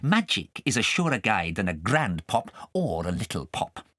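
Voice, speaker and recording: A vain, scheming witch from a storybook, acted by a male reader. She is arch and pompous, sliding between raised and low notes in very wide swoops. The sound is dry. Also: sing-song, normal speed, fairly bright tone, dry recording. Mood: scornful, sly. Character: scheming, vain, haughty witch, adult woman.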